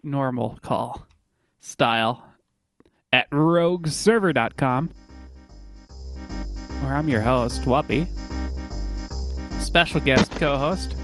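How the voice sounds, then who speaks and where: A man's voice: medium pitch, slow pace, long pauses, fairly bright tone, soft background music. A man hosting a casual prank-call podcast, with a sore throat, talking in an easygoing, meandering way. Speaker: podcast host.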